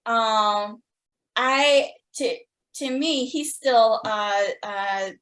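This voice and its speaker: Slow-talking woman interviewer in an echoey room. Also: fairly high pitch, a bit bright. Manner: gushing, hesitant phrasing with repeated likes. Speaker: podcast interviewer. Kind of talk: casual interview chat. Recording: roomy, echoey sound.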